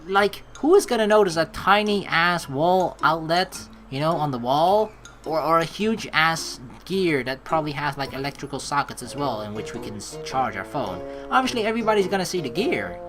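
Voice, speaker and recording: Casting: young female let's player, lower-pitched, hugely varied intonation, playful read-aloud and chatter. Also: normal speed. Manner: playful, expressive. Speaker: let's play host. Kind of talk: reading game text aloud with casual commentary. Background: game music.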